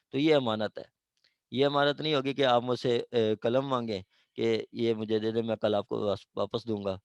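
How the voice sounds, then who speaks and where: A man with a Pakistani accent teaches law in an informal classroom style. His voice has a middling pitch and is recorded very dry. He explains with examples, slips into English legal terms and often checks that listeners follow. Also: a little mellow, dry recording. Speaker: law lecturer.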